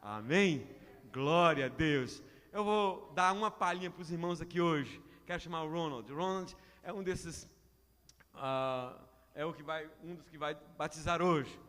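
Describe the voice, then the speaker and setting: A man pastor preaching a sermon to his congregation, building to loud emphatic peaks. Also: fairly high pitch, measured pace. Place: church hall. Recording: long church reverb.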